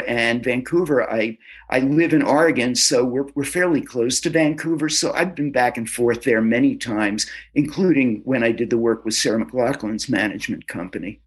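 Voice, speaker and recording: A man being interviewed as an author, answering in a casual, halting way and often repeating words as he starts a sentence. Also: mid-range voice, breathy edge. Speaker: author guest.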